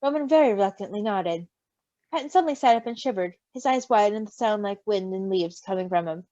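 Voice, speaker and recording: A woman reading a story aloud in an animated style, with casual asides, on a slightly dull recording. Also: mid-range voice, brisk, no echo. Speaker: story reader.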